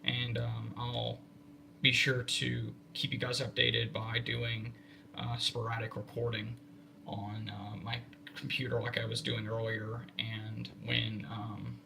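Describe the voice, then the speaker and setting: An American man giving casual livestream commentary as he logs weather warnings, halting and thinking aloud while he works. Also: medium pitch, completely flat delivery, creaky. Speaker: livestreamer spotting severe weather. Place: indoor room with computer and radio equipment. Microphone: phone set in a fixed spot. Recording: noisy amateur livestream with room hiss.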